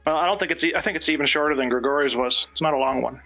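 Bright-voiced wry man, lo-fi audio. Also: a little high, racing, vocal fry, faint music behind. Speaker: guest writer reading own fiction. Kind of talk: casual joking chat and document reading. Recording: dull lo-fi stream audio.

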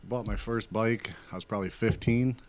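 A man's voice: lowish voice, fairly even intonation, normal speed. A thirty-year-old man from Wisconsin chatting casually as a podcast guest, giving short, laid-back answers. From Wisconsin, United States. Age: 30s. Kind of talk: casual chat.

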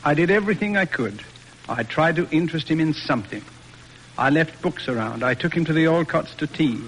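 A man's voice: fairly high pitch, expressive, fairly quick, a bit bright. An actor playing a stern, exasperated father in a formal, emphatic 1940s radio drama style. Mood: weary exasperation. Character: exasperated stern father disappointed in his son. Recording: noisy old radio broadcast recording.

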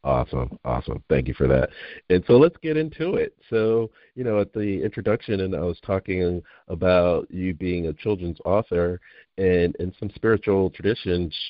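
A man's voice: low voice, lively intonation, moderate pace, no room sound. A man hosting an interview, chatting in an enthusiastic, rambling way. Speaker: host. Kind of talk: casual interview talk. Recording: dull lo-fi audio.

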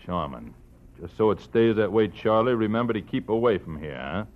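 Actor playing a gruff thug henchman. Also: lowish voice, animated, fairly quick, close to an everyday voice. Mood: threatening. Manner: gruff, threatening slang. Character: tough male henchman bodyguard, thuggish and blunt. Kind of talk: 1940s radio acting. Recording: dull old radio recording.

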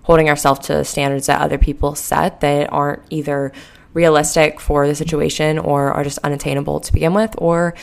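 A young woman in her twenties hosting a casual solo podcast, hoarse and sleepy after a late night, rambling with lots of "like" and "um". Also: low-pitched. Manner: rambling, heavy use of "like" and "um". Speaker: podcast host.

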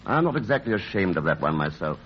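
An actor playing a brooding but good-hearted young painter with a husky voice in an old-time radio drama. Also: male voice, deep voice, big pitch swings, quick pace, slight background noise. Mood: subdued. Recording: muffled old radio recording.

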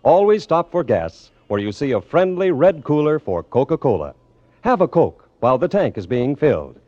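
A man with an American accent announcing in a scripted, polished and measured 1950s radio style. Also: mid-range voice, big pitch swings, dry recording. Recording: dull, band-limited old radio recording.

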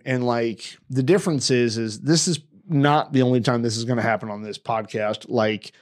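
Young guy cohosting a podcast, cusses nonstop, gets worked up and rambles, voice sits mid-range. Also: mid-range voice. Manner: emotional, heavy swearing, rambling restarts. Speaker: podcast co-host. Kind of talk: casual podcast chat.

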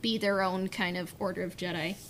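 A woman's voice: fairly low pitch, fairly even intonation, a little fast, fairly bright tone, a little hiss or hum. A woman chatting casually on a podcast panel in a hesitant, filler-heavy way, saying "like" often. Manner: hesitant, peppers talk with "like". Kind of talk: casual chat.

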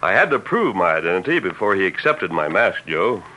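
An actor playing a steady, courteous masked cowboy hero with measured, formal diction in an old western radio drama. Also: male voice, deep voice, lo-fi sound, full character voice. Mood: calm, serious. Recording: noisy old radio recording.

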